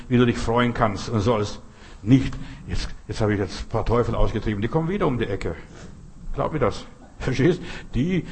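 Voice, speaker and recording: A man's voice: medium pitch, breathy edge. A man giving a charismatic sermon, stressing and repeating short phrases. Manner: emphatic, repeats phrases, cries hallelujah. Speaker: preacher.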